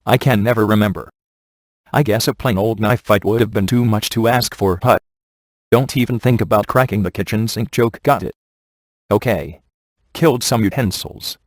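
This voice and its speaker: A man's voice: on the low side, normal speed, dry recording, lightly acted. A man playing a wisecracking, laid-back ghost hunter in a video game, with a dry, sardonic and glib delivery. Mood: casual, cocky. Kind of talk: scripted video game character dialogue.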